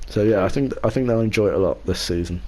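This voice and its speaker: A man co-hosting a casual racing podcast, talking in a measured way and softening his opinions as he goes. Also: fairly low pitch. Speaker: podcast co-host and league racer.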